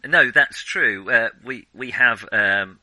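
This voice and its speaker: A man's voice: mid-range voice, slow pace, sharp tone, no room sound. A man co-hosting a casual film podcast, chatty and hesitant, with many ums and easy laughs. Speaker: podcast co-host.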